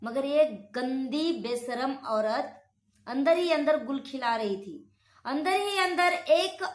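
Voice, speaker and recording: A woman's voice: sits high, fairly bright tone. A woman giving opinionated gossip commentary in an accusatory manner, repeating phrases for emphasis. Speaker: online reaction commentator. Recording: roomy, echoing sound.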